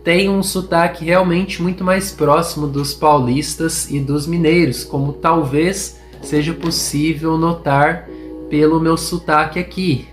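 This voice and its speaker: A man's voice: fairly high pitch, steady tone, unhurried, soft background music. A young man from northern Paraná with a caipira Portuguese accent, hosting a history podcast in an informal, hesitant way, with many fillers and restarts. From Norte Pioneiro, Paraná, Brazil. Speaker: podcast host, history student. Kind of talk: informal spoken podcast narration.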